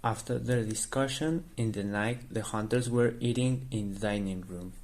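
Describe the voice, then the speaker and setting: A man's voice: fairly low pitch, moderate pace, a little mellow. A man reading scripted story narration as the narrator of an amateur radio drama.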